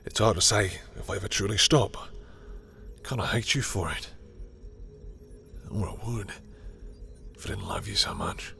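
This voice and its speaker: A man acts out a lovestruck villain with a lowish voice, proud and guarded yet tender. He speaks intimately and haltingly to one listener, as in a dramatic romantic audio roleplay, with music behind him. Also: huge pitch swings, creaky, pushed and bright, exaggerated voice. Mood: tender, resigned. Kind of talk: intimate second-person romantic roleplay acting.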